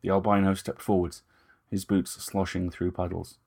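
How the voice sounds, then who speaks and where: A man's voice: low-pitched, flat delivery, normal speed, vocal fry, darkish tone. A man reading scripted dramatic fiction as narrator.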